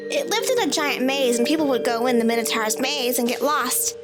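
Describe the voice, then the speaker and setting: A woman's voice: high voice, animated, a little fast. A woman reading a first-person story in the voice of a child, with a childlike, matter-of-fact manner. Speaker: story narrator. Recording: loud music bed under the voice. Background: music.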